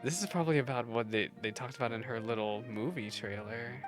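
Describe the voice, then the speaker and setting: A woman's voice: extremely low pitch, quick pace, music in the background. A woman streamer giving casual, chatty, playful commentary with exclamations during a game playthrough.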